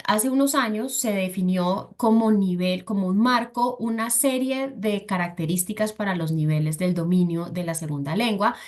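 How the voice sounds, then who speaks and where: A woman from Colombia, presenting a webinar for a scholarship foundation and explaining step by step with frequent fillers. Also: medium pitch. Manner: explains patiently, with filler "entonces" and "eh".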